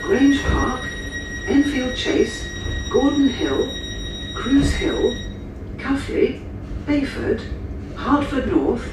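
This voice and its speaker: A female announcer reads out stops slowly with a lively lilt over a train PA. Her voice is distant and heavily echoed, amid clear carriage noise. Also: sits high. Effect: train PA speaker. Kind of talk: scripted station announcements. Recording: very echoey, noisy train PA from afar.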